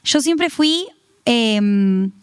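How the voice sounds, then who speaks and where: A young woman from Tucumán, Argentina, with a Tucumán accent, chatting warmly through her testimony with frequent laughs and fillers. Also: a little high, expressive, extremely slow, smooth, dry recording, clean studio sound. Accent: Tucumán Argentine Spanish. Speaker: guest giving testimony. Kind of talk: casual testimony interview.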